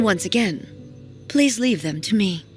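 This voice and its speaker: A woman voicing a stoic, solemn warrior ruler in a fantasy video game, speaking formally and gravely. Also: mid-range voice, animated, a little slow, music in the background, close to an everyday voice. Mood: solemn, composed.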